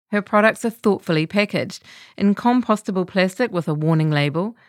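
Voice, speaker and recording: A woman reading a scripted feature article in a measured, composed way. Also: low-pitched, no room sound, clean studio sound. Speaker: narrator of a long-read podcast.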